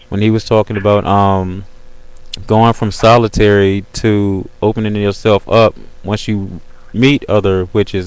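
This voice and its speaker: Guy with a deep-ish smooth voice, pretty flat tone, slangy chill chat on a webcam call. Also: rough recording. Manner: slangy, repeats "you know what I'm saying". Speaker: host of an online video call. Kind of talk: casual chat.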